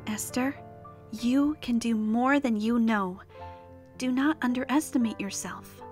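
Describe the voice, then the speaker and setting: Protective cartoon mare captain, acted by a woman, high and earnest, solemn in her devotion, light music underneath. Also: measured pace, darkish tone, close to an everyday voice. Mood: earnest conviction. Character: devout, protective pony mare, captain of the guard. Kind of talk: animated fan drama acting.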